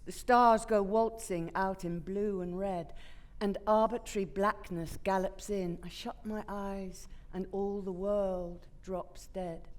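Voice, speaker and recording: A woman giving a deliberate, dramatic reading of a poem on a theatre stage. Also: medium pitch, darkish tone. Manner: deliberate, measured. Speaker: actor reading poetry.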